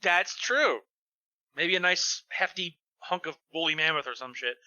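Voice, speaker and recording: A man's voice: on the high side, lively intonation, measured pace, bright, forceful tone, no echo, highs cut off. A man joking around and giving mock-serious comedic commentary as part of a fan fiction reading group. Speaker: fan fiction podcast reader.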